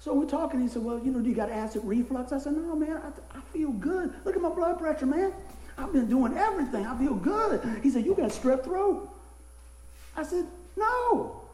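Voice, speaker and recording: A middle-aged man, a pastor, preaching a casual sermon with a hoarse voice that he is losing, folksy and animated in his stories. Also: normal speed, roomy sound, low-quality recording. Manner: folksy, animated storytelling with rising pitch in anecdotes. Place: church.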